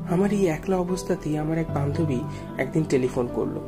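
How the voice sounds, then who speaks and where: A man's voice: a little high, brisk. Brooding male storyteller over music. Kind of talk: scripted first-person story narration.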